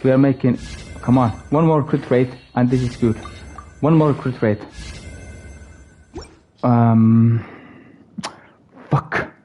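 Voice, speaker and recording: A man with a dark, relaxed voice streams a game live over faint music. He comments casually, repeating short phrases as if to himself, at a middling pitch. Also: slow, long pauses. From Finland.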